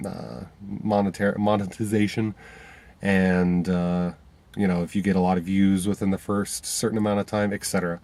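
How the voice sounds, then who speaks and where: A man's voice: low voice, darkish tone. Stuffy-nosed man vlogging from his car. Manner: rambling, many fillers and restarts. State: stuffy from a cold. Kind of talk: casual talk to camera. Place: inside a parked car.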